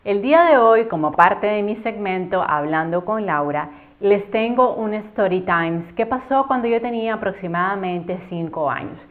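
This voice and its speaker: A Cuban woman in her thirties, telling a childhood story with earnest, expressive delivery as a YouTube educator. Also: mid-range voice, moderate pace, hardly any pauses. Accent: Cuban Spanish. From Cuba. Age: 30s. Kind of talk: storytime vlog. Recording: phone-like narrow band.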